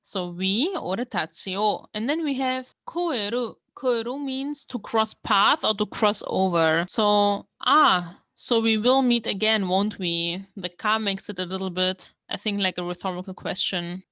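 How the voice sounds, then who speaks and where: Bright-voiced woman explaining words slowly. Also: medium pitch, no room sound. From Germany. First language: German. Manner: slow, careful explanation of words. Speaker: language-learning channel host. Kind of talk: reading and translating manga line by line.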